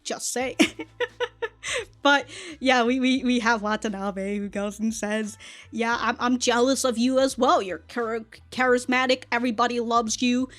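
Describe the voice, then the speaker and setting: A woman hosting a casual anime reaction and review, speaking in an animated, exclamatory way and often chuckling as she talks. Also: on the high side, a little slow. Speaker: reaction video host.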